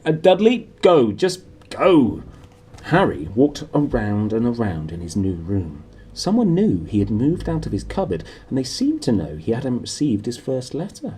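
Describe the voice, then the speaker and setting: A man's voice: mid-range voice, huge pitch swings, normal speed, dark tone, breathy edge. A man narrating a storybook aloud in a dramatic, playful way. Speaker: book narrator. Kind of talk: animated storybook read-aloud.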